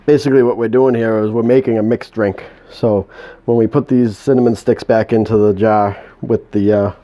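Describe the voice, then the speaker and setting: A man's voice: lowish voice, steady tone, dull audio. A man with a New England accent giving a casual home kitchen demonstration, mumbling and restarting often. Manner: mumbling, halting, many restarts. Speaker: home cook demonstrating a recipe.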